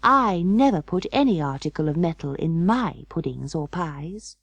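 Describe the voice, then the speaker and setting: A woman's voice: low voice, expressive, moderate pace, vocal fry, no room sound, exaggerated voice. A woman voicing a prim, fussy female cat hostess in a storybook reading, proper and emphatic. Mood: indignant, firm. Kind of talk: audiobook storybook character voice.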